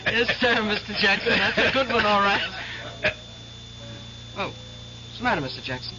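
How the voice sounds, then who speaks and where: An actress playing a friendly, attentive cocktail waitress in a radio drama, chatty, breezy and colloquial. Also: female voice, medium pitch, lively intonation, normal speed, bright, forceful tone, a little hiss or hum. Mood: chatty, concerned. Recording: muffled old radio recording with music.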